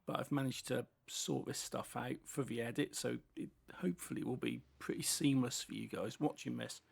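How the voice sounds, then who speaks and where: Very gravelly, husky British man. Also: medium pitch, normal speed. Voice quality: heavy sibilant S sounds. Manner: chatty running commentary while driving. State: voice going hoarse from a cold. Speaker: gaming channel host. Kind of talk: casual racing game commentary.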